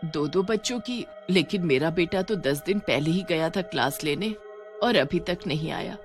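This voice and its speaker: A woman's voice: lowish voice, normal speed, soft background music, lightly acted. A woman voicing a worried, pleading mother in a horror story. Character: anxious mother searching for her missing son.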